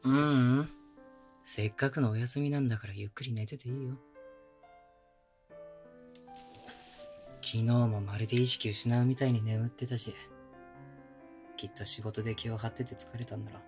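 A man playing a gentle, doting young boyfriend in an intimate ASMR audio drama, speaking casually with tender, coaxing chiding. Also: mid-range voice, steady tone, fairly quick, close to an everyday voice. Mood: tender, sleepy. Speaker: voice actor. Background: music.

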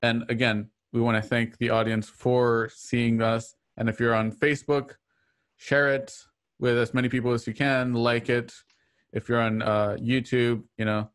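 A man's voice: medium pitch, level tone, unhurried, a bit bright, clear, resonant tone. A man hosting an online radio drama show, chatting casually as he signs off on a livestream. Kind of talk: casual livestream sign-off chat. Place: indoors at home on a video call.

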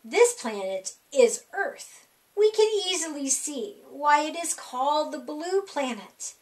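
A middle-aged woman reading a rhyming children's picture book aloud in an animated, sing-song, deliberate way for young kids. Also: high voice. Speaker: library storytime reader. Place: small indoor room.

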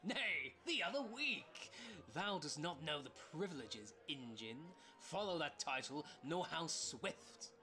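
Man as bright-voiced, scheming swindler. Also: on the high side, big pitch swings, slow pace, faint music behind, exaggerated voice. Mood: flattering. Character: scheming, flattering swindler with a bossy streak. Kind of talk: amateur audio-drama comic acting.

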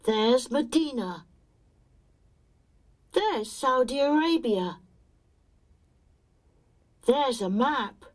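A woman reading a children's textbook audio track slowly and carefully. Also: sits high, animated.

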